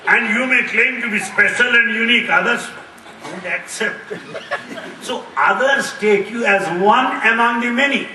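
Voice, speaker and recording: A middle-aged man answering audience questions in a lively talk, repeating key phrases and laughing often. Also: very high-pitched, normal speed. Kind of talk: lively lecture with jokes. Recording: echoey hall, distant mic, faint hiss.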